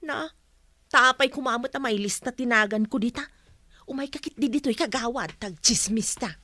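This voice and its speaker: A chatty, carefree village gossip played by a woman in an Ilocano radio comedy. Her voice is high and bright, heard close and dry, with an Ilocano accent. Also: moderate pace, dry recording. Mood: gossipy.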